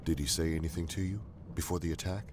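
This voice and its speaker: A man playing a weary, grave and persuasive zealot soldier in an audio drama, with a gravelly voice and earnest, emphatic delivery. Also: low-pitched, steady tone, fast talker, noisy background, close to an everyday voice. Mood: probing.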